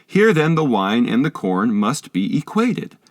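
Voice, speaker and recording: Man reading with lively, varied intonation. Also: medium pitch, a little slow, dry recording, clean studio sound. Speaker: audiobook reader. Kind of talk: scripted narration, reading a philosophical text.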